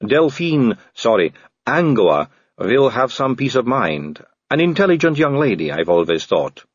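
A man voicing a sardonic female vampire aristocrat, speaking calmly with a slow drawl. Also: mid-range voice, breathy edge, dry recording, highs cut off, voice pushed up. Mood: languid. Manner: calm, languid, drawling.

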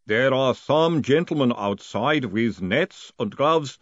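Guy doing a big clay golem servant for an audiobook, super slow and formal, patient, kinda dull sound. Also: mid-range voice, expressive, no room sound. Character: patient, literal-minded golem servant, huge and clay-built. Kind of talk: audiobook character voice.

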